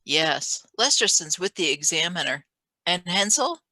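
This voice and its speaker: A woman's voice: fairly low pitch, sing-song, unhurried, sharp tone, no echo, exaggerated voice. A woman playing a scheming lab assistant, controlled and matter-of-fact, in a radio play reading. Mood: conspiratorial. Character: cool, scheming female lab assistant and conspirator.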